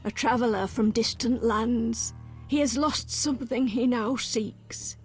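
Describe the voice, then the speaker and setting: An actress playing a solemn, cryptic female village elder in a video game, speaking in measured, archaic phrases. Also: a little high, darkish tone, faint music behind, natural voice. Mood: solemn, wary.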